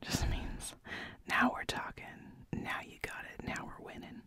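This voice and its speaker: An ASMR host in a breathy, unvoiced whisper, softly explaining slang with hesitant pauses and repeated words. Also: no echo.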